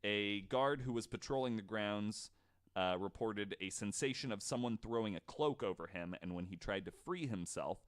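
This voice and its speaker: A man's voice: on the low side, normal speed. A man hosting a podcast, telling stories in a casual way and slipping in wry, jokey asides. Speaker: podcast host. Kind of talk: casual storytelling with jokes.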